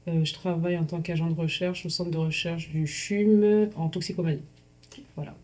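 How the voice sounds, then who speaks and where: A woman's voice: sits low, fairly even intonation, normal speed, darkish tone. A woman from Kinshasa, a guest and soon co-host, who talks in a playful, teasing way. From Kinshasa, Congo. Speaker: podcast guest, future co-host. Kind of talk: casual podcast chat.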